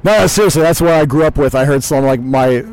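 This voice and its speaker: A man's voice: fairly high pitch, fairly quick, darkish tone, rough recording. A man from New York, a band's lead singer, joking and rambling in a casual outdoor interview. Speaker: guitarist and lead vocalist. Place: outdoors in a parking lot.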